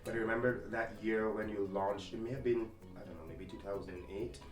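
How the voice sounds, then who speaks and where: Low-voiced guy hosting a chat, kinda rambly, roomy sound. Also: fairly even intonation, fast. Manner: rambling, restarting questions. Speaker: host. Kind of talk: casual interview chat. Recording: roomy, distant mic, faint music.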